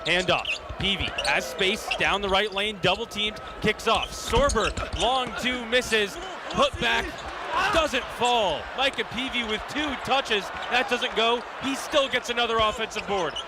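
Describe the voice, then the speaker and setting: High-pitched male play-by-play announcer, loud background noise. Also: a little slow. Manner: clipped, descriptive phrasing. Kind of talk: live game commentary. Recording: loud crowd and arena noise.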